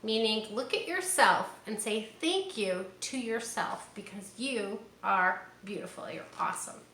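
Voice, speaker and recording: Woman doing a kids storytime read-aloud, slow and bright, encouraging, chatty asides, bit of room echo. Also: mid-range voice, expressive. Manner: encouraging, speaks directly to young listeners. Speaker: storyteller reading a picture book to children. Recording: roomy echo, faint background noise.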